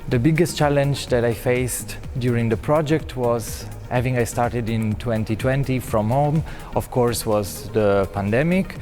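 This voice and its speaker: A man from Italy, a doctoral researcher, giving earnest recorded interview answers with some halting phrasing. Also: medium pitch, darkish tone, soft background music. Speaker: PhD researcher.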